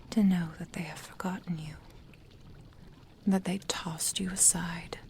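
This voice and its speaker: A woman voicing a possessive, soothing, long-lived witch in a whispered ASMR roleplay, coaxing and doting in a breathy voice. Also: lowish voice, dark tone, slight background noise.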